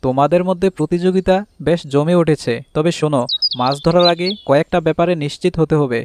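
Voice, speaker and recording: Higher-pitched village husband played by a man, noisy birdsong. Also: fast, lightly acted. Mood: practical, upbeat. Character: village husband of two wives, fish farmer, peacemaking. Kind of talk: Bangla cartoon voice acting.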